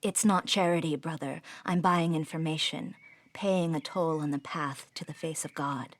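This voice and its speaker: A woman's voice: sits low, steady tone, normal speed, no echo. An actress playing a pious young farm-born acolyte in an audio drama, formal and insistent in her speech. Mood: earnest. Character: earnest young female acolyte, pious former farm girl.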